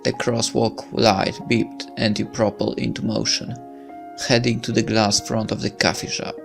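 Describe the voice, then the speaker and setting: A man's voice: lowish voice, fairly even intonation, moderate pace, creaky, over music. A man reading a visual novel aloud as narration, breaking into casual commentary as a let's player.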